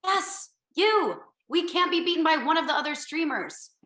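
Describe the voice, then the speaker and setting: A woman's voice: very high-pitched, animated, normal speed, fairly bright tone. A woman playing a brash, self-absorbed company boss in a comedic table read, breezy, bossy and profane. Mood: demanding. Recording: roomy home video-call audio.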